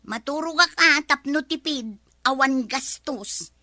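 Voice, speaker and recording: Woman acting bright-voiced defiant Ilocano wife. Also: sits high, slow pace, no echo, studio quality, heavily acted. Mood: dry sarcasm. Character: dry, defiant, long-suffering wife in village comedy. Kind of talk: radio comedy drama acting.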